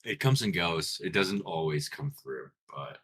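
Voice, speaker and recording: Low bright-voiced Colombian-accented man. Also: a little slow, breathy edge. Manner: relaxed, rambling, self-interrupting. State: tired and chewing gum. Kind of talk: casual chat.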